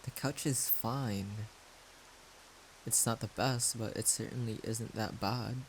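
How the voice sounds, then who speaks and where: A man playing a caring, shy young friend in an intimate audio roleplay, soft-spoken and hesitant as he gently reassures the listener. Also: lowish voice, fairly even intonation, slow, poor audio. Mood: awkward, hesitant. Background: rain-like noise.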